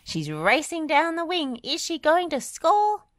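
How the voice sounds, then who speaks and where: A woman voicing an excitable little female cement mixer with a squeaky, shrill delivery in a children's storybook. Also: measured pace, no room sound, clean studio sound, heavily acted. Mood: excited. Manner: shrill, exclaiming.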